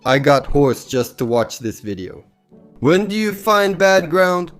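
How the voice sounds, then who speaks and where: A hoarse man hosting a comedy show and reading viewer comments with quick, jokey asides. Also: a little high, big pitch swings, fairly bright tone, faint music behind.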